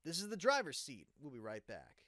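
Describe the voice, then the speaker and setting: A man's voice: fairly high pitch, huge pitch swings, a little fast, sharp tone, no room sound, studio quality, heavily acted. A man playing an incredulous, urgent radio news host in a dramatized live broadcast. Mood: serious, briefing.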